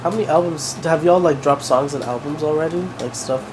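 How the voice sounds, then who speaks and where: A man's voice: fairly high pitch, a little fast, background noise. A man interviewing a music group, asking loose questions full of "like" and "y'all". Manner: relaxed, with lots of "like" and "y'all".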